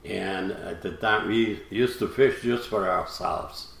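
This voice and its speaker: Older Croatian man, slow halting English. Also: low voice, creaky, fairly bright tone, roomy sound. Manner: halting, broken English with many fillers. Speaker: guest, retired fisherman. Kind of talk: interview.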